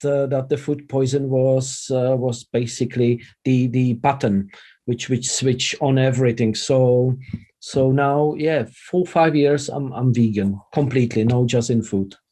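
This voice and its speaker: A middle-aged man with a Czech accent, chatting casually as an interview guest in halting, non-native English. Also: mid-range voice, fairly even intonation, mellow. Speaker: interview guest, vegan chef and former radio presenter.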